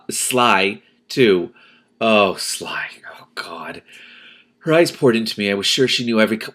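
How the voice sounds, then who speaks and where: A man's voice: fairly low pitch, pushed and bright. A man reading a novel aloud expressively, breaking off to comment and exclaim in his own words. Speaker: reader and commentator, host of a read-aloud series.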